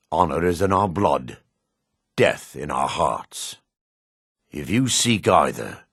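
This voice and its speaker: An actor playing a proud, gruff dark elf warrior in a fantasy game, with a raspy voice and a haughty, clipped, sardonic manner. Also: male voice, low-pitched, no echo, clean studio sound, exaggerated voice. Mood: solemn, proud. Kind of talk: fantasy video game character dialogue.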